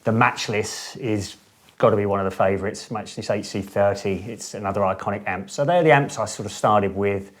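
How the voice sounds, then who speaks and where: A middle-aged man who owns a guitar amp studio, chatting in an interview in a relaxed, enthusiastic way with lots of fillers and restarts. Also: lowish voice, brisk. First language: English. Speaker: boutique amp studio owner. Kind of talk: casual interview chat.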